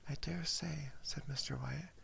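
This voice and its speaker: A man reading a story aloud as ASMR in a soft, hushed, unhurried whisper, close to a binaural microphone. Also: a little high, fairly even intonation, creaky, slight background noise. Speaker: ASMR streamer.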